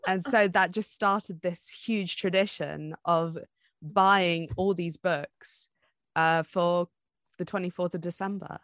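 Female podcast co-host. Slightly low voice, dry recording, informal and chatty book talk. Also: fairly even intonation, takes it slowly, a bit bright, no room sound. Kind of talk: casual book podcast chat.

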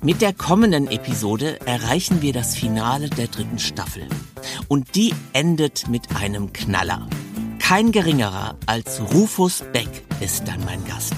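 A man's voice: mid-range voice, sing-song, a little slow, music in the background. A man hosting a podcast, speaking in a conversational interview style. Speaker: podcast host.

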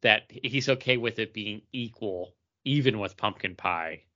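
A man with a bright, forward voice chats casually as a podcast co-host. The audio is slightly dull and lo-fi. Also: lowish voice, measured pace. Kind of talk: casual group chat.

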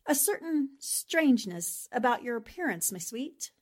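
Woman acting a composed, higher-voiced 1940s newspaperwoman. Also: sing-song, moderate pace. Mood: wry. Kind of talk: 1940s radio drama acting.

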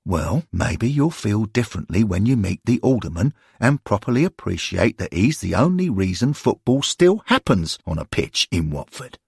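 A man voicing a genteel older gentleman who frets and fusses in a husky, creaky voice. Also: lowish voice, very animated, moderate pace, hardly any pauses, dry recording, clean studio sound, exaggerated voice. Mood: fretful. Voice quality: husky, creaky, prim and fretful.

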